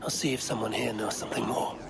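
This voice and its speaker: An actor playing a determined, self-assured Viking warrior in a video game, speaking in a terse, purposeful way. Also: male voice, fairly low pitch, flat delivery, fast, creaky, noisy background. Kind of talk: video game character acting. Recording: music behind the voice.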